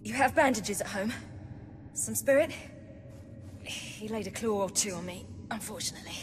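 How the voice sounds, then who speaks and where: An actress playing a composed, brave young swordswoman in a video game, brisk and self-assured. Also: mid-range voice, a little hiss or hum, natural voice. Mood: resolute. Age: young adult. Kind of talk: dramatic video game acting. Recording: long reverb, music behind.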